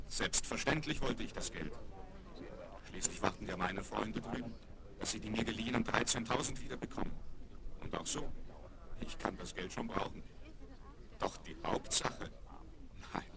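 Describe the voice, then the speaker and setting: An actor playing a famous young American aviator, modest and boyish, in a German children's radio play. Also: male voice, on the low side, vocal fry. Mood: thoughtful, sincere. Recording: noisy, crackly vinyl record transfer.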